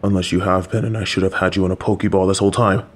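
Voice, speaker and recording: A man voicing a gentle, awkward, supportive middle-aged father, speaking in a slow, halting way with dad jokes. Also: low voice, level tone. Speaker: voice actor playing a father. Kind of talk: audio roleplay.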